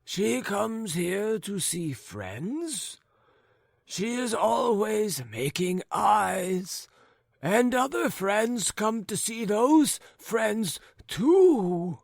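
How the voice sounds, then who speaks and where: A high, wandering old man's voice, put on by a male reader, forgetful and coughing, drawn out into a wheedling chant. He rambles slowly over his words, recorded dry and close. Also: very animated, gravelly, no room sound, exaggerated voice. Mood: gossipy.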